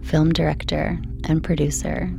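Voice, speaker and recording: Low, creaky-voiced woman narrating calmly. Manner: slow, soothing, measured. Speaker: host and narrator. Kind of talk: calm, quiet sleep-story narration. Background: background music.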